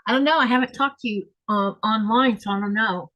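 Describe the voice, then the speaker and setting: Woman, Southern accent, fairly high voice, rambling casual chat, lots of fillers. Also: a little fast, pushed and bright, no room sound, lo-fi sound. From Florida, US. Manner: rambling, self-correcting, frequent fillers. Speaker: host. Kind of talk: casual chat while sewing, reading comments. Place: home craft room.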